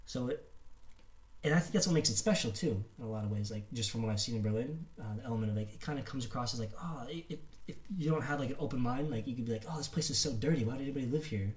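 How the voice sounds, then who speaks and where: A man's voice: mid-range voice, fast talker. A man hosting a casual podcast conversation, talking informally and repeating himself, with "like" sprinkled through his sentences. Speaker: podcast host. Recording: roomy, echoing sound.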